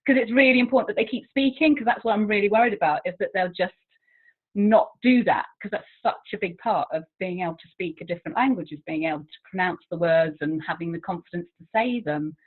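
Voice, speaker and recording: A woman's voice: on the low side, quick pace, husky. Chatty English woman on a tinny line. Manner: chatty, self-deprecating, laughs often. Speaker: guest, languages teacher. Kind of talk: casual interview chat.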